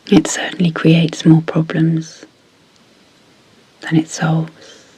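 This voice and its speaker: A woman speaking in a soft, breathy whisper as an ASMR sleep hypnosis guide, deliberately and in short phrases with pauses. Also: sits low, monotone, poor audio. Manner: slow, deliberate, pausing between short phrases.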